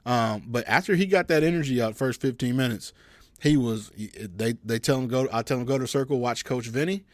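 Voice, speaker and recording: Man, young adult, podcast co-host, mid-pitched voice, halting, easygoing, dry close sound. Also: dry recording. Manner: halting, restarts often, easygoing. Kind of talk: casual chat.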